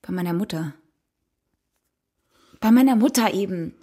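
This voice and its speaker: Guilty mother, bright husky voice, hesitant, coaxing, radio play dialogue. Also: mid-range voice, animated, measured pace. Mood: defensive, wistful. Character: evasive, guilty mother confessing to her husband.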